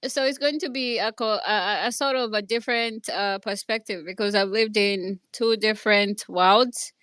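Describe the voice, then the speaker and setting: A woman's voice: medium pitch, normal speed, fairly bright tone, clear, resonant tone, no room sound. A woman with a Kenyan accent telling a personal story in an online discussion, earnest and hesitant, with many restarts. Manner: earnest, speaks from her own experience. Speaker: speaker in an online audio discussion.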